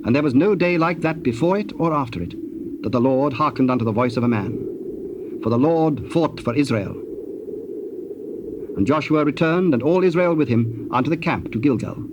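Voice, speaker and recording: A man's voice: fairly high pitch, animated, normal speed. Solemn male scripture narrator on muffled tape. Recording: muffled old cassette, faint noise.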